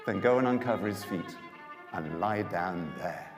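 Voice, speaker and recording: A man's voice: low-pitched, expressive, measured pace, over music. A man voicing a caring, directive older mother-in-law in a dramatised Bible story, exclaiming and instructing. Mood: earnest, instructing. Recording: echoey hall reverb.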